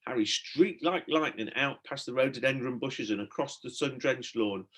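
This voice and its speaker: A man with a medium-pitched voice reads a children's story aloud. He narrates like a primary teacher, expressively and with dramatic storytelling phrasing. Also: moderate pace, fairly bright tone.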